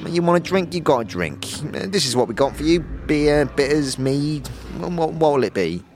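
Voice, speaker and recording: A man playing a brusque, impatient tavern barkeep in an audio drama, halting and grudging in his delivery. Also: a little high, very animated, darkish tone. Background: prominent music.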